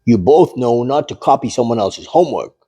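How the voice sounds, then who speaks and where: A man's voice: mid-range voice, expressive, fairly quick, mellow, husky. A man playing a stern adult male cat schoolteacher in a storybook, firm and accusing.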